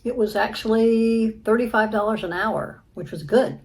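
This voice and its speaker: A woman's voice: on the high side, moderate pace. A woman giving casual, short replies as a player in an interactive audio story.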